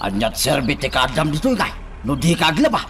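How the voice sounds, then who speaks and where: A man's voice: on the high side, very animated, fairly quick, very noisy. A man playing a bossy, nagging character ordering chores in a comedic radio drama, pushy and scolding.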